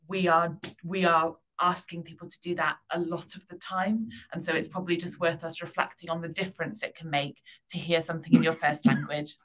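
Low, flat-voiced woman on the board. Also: fairly quick, few pauses, rough recording. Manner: reflective, hesitant in rusty Welsh. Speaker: board member. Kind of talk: formal meeting remarks.

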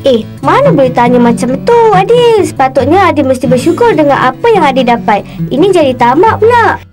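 A woman voicing a sensible, polite teenage big sister in a cartoon, with a gently lecturing manner. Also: high voice, very animated, a little fast, soft background music. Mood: scolding. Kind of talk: cartoon voice acting.

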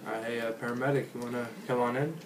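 A man's voice: medium pitch, little pitch movement, rapid-fire. A man chatting casually as he plays his turn in a card game, recorded in a small echoey room. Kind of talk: casual chat during play. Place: small room at a wooden table, indoors. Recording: echoey room, faint noise.

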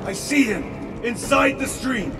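A man's voice: extremely high pitch, measured pace, gravelly, full character voice. A man playing an earnest, resolute far-future warrior in a video game, speaking in a terse military manner. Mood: urgent. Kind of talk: dramatic game voice acting. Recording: voice under very loud music.

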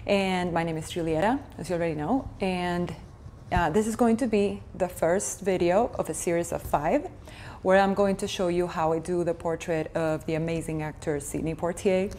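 A woman in her thirties with an Argentine Spanish accent talks through a drawing tutorial from her home. Her voice sits a little low and sounds mellow and relaxed. She speaks in a chatty, gentle way, now and then pausing to search for a word. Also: a little hiss or hum. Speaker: art tutorial host. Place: indoor room at home.

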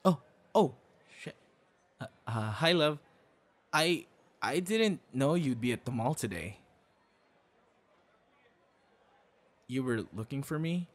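A man's voice: medium pitch, big pitch swings, unhurried, long pauses. A man acting a shy, kind young boyfriend in an ASMR roleplay, speaking conversationally with hesitations and stammers. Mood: flustered.

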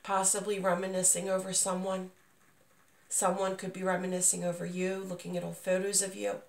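Creaky-voiced woman recovering from sickness, roomy sound. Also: lowish voice, monotone, a little fast. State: getting over being sick, voice not at full strength. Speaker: tarot reader, channel host. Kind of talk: casual spoken tarot reading. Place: indoors, small dim room at a table. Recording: roomy echo, distant microphone.

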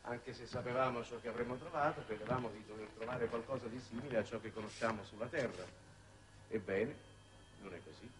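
An actor playing an idealistic, wordy head of a space commission in a 1960s Italian television drama, oratorical and halting. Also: male voice, medium pitch, a crowd in the background, lightly acted. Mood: calm, persuasive. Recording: noisy old television soundtrack.

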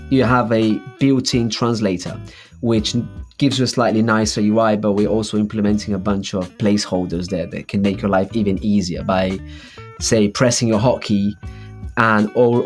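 A man's voice: lowish voice, a little mellow. Upbeat man hosting a video-call interview. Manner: upbeat, explanatory, talks with his hands. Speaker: company host interviewing a user. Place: home office.

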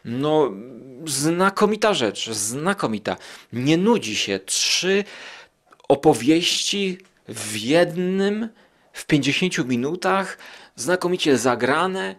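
A man's voice: a little high, a bit bright. A man hosting a podcast, reviewing things in a casual, hesitant and digressive way. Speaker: podcast host.

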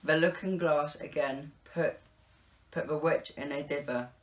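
Young man, fairly high voice, slow pace, rhyming storybook narration, read-aloud delivery. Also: fairly even intonation, low-quality recording. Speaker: pantomime narrator.

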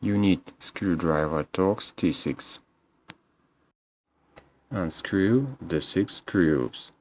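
A man's voice: deep voice, extremely slow, slight background noise. A man narrating a scripted how-to as a repair technician, in terse step-by-step instructions.

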